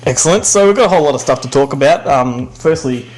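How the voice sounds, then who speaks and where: A man's voice: mid-range voice, animated, quick pace, a little mellow. A man with an Australian accent hosting a podcast, chatting casually and enthusiastically about films in an informal way. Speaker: podcast host. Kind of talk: casual film chat. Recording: roomy echo, music in the background.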